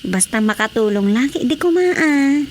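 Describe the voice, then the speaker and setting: A woman's voice: high-pitched, lively intonation, unhurried. An actress playing a curious, concerned woman trading news in a radio drama comedy. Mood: opinionated. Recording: background ambience noise.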